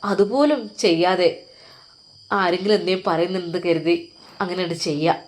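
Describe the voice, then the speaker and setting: A woman's voice: lowish voice, fairly quick, voice pushed down, natural voice. A woman voicing a hospital doctor who lectures a young patient at length, firm and advising. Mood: lecturing.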